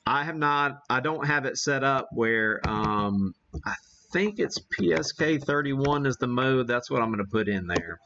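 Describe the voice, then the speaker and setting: A man's voice: medium pitch, animated, unhurried, bright, forceful tone, muffled recording. An American man hosting a casual live radio demonstration, thinking aloud in a folksy way. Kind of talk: casual live-stream chat while operating.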